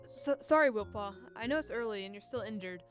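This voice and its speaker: A woman voicing a nervous, gentle, apologetic female cat in a podcast story, in a plain, soft-hearted way. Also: on the high side, expressive, brisk, soft background music, close to an everyday voice. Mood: apologetic, concerned.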